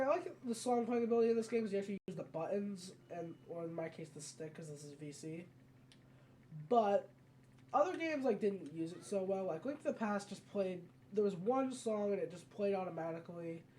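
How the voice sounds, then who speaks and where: A casual let's play commentator with a soft, mellow tone. The talk is conversational and tends to ramble along. It is a home gameplay recording with faint noise behind the voice. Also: mid-range voice.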